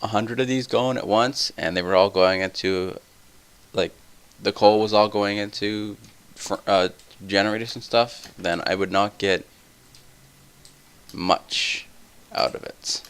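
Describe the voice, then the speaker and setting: Tired young man rambling, gravelly low voice. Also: unhurried, a bit bright. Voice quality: somewhat deep voice. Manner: rambling, ranting, full of tangents. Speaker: let's play host. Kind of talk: rambling gameplay commentary.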